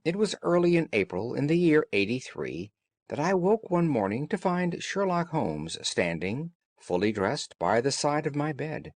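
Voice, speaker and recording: A man reading scripted storybook narration as an audiobook narrator, in an expressive way. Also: a little high, moderate pace.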